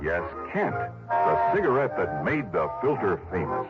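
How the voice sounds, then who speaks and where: Brief: male announcer for a 1960s radio ad, low voice, lively pitch swings, dull sound with loud music. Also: unhurried. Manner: emphatic, pitchy sales delivery. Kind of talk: 1960s cigarette commercial.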